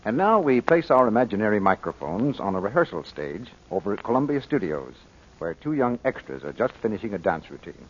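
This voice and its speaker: A man's voice: on the low side, big pitch swings, a little fast, a little hiss or hum. A man announcing and advertising in 1940s radio style, on a muffled old broadcast recording.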